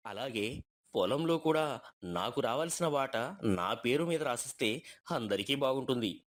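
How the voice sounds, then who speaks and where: A man's voice: medium pitch, expressive, moderate pace, lightly acted. A man voicing an assertive village husband with a blunt, lecturing manner in a moral story. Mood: firm.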